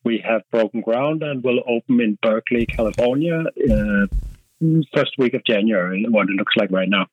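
A man's voice: on the low side, normal speed, highs cut off. A man from Denmark with a Danish accent, talking through his answers as a podcast guest, with plenty of fillers and restarts. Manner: hesitant, thinks aloud with many fillers and restarts. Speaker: guest, marketing director. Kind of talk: casual podcast interview.

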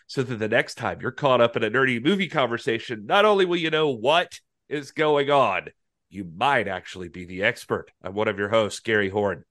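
A man's voice: mid-range voice, moderate pace, fairly bright tone, no room sound, studio quality. A man co-hosting a film podcast, reading an upbeat intro and joking playfully. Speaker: podcast co-host. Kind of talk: scripted show intro and banter.

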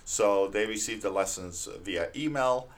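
A man's voice: lowish voice, a little slow. A middle-aged man from Romania with a Romanian accent, a teacher giving earnest, plain-spoken interview answers. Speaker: literacy teacher, guest.

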